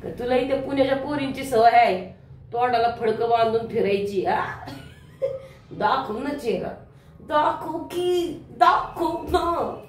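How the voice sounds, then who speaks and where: A woman's voice: a little high, slow, slight background noise. Woman acting swaggering lecherous businessman, echoey hall. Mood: leering. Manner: leering, swaggering, colloquial. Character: lecherous, boastful middle-aged businessman father, crude and bullying. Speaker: stage actor in a one-act play competition. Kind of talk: theatrical stage monologue acting. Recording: echoey hall, distant microphone.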